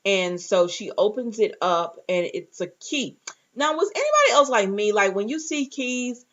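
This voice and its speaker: A woman's voice: medium pitch, big pitch swings, normal speed, fairly bright tone. A woman with a mid-range voice recaps a show as if talking to friends. She is informal and chatty, retelling what people said and reacting as she goes. The recording is slightly muffled and lo-fi. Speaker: reviewer and host of a TV recap show. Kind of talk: casual recap chat with acted-out asides.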